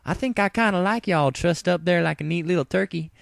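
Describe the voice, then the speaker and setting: A man's voice: high-pitched, a little fast, creaky, no room sound, clean studio sound, voice pushed up. A man voicing a teasing, flirtatious female country pony with a folksy, playful Southern American drawl in a storybook reading.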